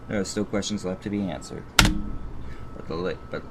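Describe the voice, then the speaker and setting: A man's voice: low voice, moderate pace, very noisy. A man reading story narration aloud on a live stream, stumbling over words and repeating phrases as he goes.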